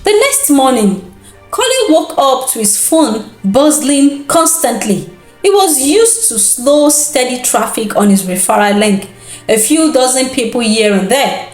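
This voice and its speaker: A woman narrating a scripted folktale as a storyteller, in an expressive, deliberate and dramatic manner. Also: high voice, reverberant, faint music behind. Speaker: storyteller, host.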